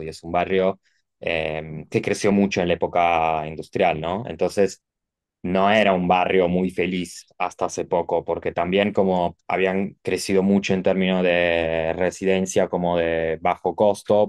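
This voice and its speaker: A man's voice: sits low, smooth, dry recording, studio quality. A man from Turin, Italy, whose Spanish has an Italian tinge, chatting casually as a guest with many fillers and self-corrections. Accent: Italian-tinged Rioplatense Spanish. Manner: relaxed, rambling, frequent fillers and self-corrections. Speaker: architect guest. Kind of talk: casual conversational interview.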